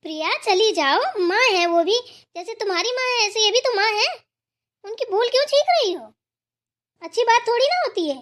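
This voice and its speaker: Woman playing an extremely high-voiced gentle sister-in-law. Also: lively intonation, moderate pace. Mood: reproving. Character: gentle, obliging elder sister-in-law in a village household. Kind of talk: cartoon family drama dialogue.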